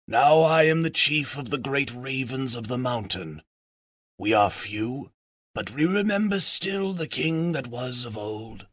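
A man voicing a decrepit, wise old raven chief in a storybook, croaking out solemn, formal counsel. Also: medium pitch, a bit bright, dry recording, clean studio sound, heavily acted. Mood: solemn, feeble. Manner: slow, formal, archaic counsel.

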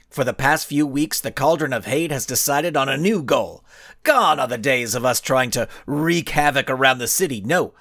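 A man's voice: a little high, fairly bright tone, no room sound, studio quality, exaggerated voice. A man voicing a cocky, grandstanding male supervillain in a storybook reading, giving smug, showy speeches.

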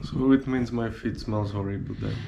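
A man's voice: fairly low pitch, steady tone, normal speed, gravelly, background noise. A young man talking in a casual home vlog, dry and mildly teasing. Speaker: vlogger. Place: small living room, indoors.

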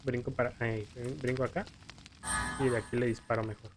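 Male gamer, easygoing running commentary, medium voice, game music clearly behind. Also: medium pitch, flat delivery, a little fast, rough recording. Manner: chatty, thinks aloud, fond of diminutives. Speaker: gaming YouTuber. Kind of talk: casual let's play commentary.

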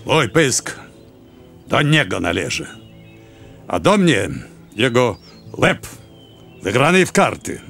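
A man's voice: mid-range voice, big pitch swings, slow, vocal fry, long pauses, a bit bright, soft background music, full character voice. A man playing a blunt, sly army major in a radio drama, terse and drawling, with a Russian accent.

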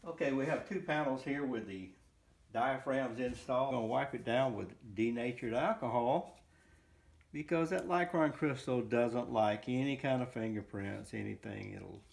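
A man's voice: mid-range voice, normal speed, vocal fry. A middle-aged man giving casual, unscripted how-to narration in short bursts while he works with his hands. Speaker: hobbyist builder demonstrating his work. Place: indoor room at home, at a worktable. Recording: noisy home recording with clicks.

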